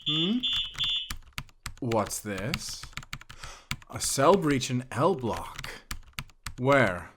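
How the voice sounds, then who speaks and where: A man's voice: medium pitch, noisy background. A man playing a sadistic prison warden in a fantasy audio drama, musing aloud and savoring his words.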